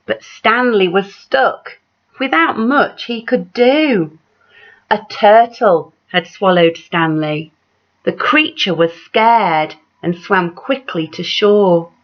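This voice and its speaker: A woman reading a children's picture book aloud like a teacher, dramatic and measured, putting questions to her young listeners. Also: medium pitch. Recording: dull, lo-fi sound.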